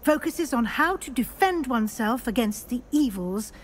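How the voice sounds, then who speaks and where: An actress playing a composed female professor in a video game, guiding a new student in a measured, instructive way. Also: on the high side, expressive, slight background noise, lightly acted. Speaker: game character.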